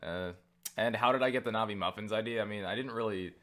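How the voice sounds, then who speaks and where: A man's voice: lowish voice, fast talker, fairly bright tone. A man from the United States answering viewer questions in a casual vlog, rambling, joking, swearing freely and poking fun at himself. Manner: self-deprecating.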